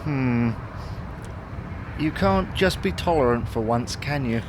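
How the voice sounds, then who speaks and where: A man playing a hapless, deadpan British husband. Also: mid-range voice, slow, heavy background noise. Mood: grumbling. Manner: mild, deadpan, put-upon. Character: hapless middle-aged husband, mild, grumbling, wryly deadpan. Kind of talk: domestic audio sitcom acting.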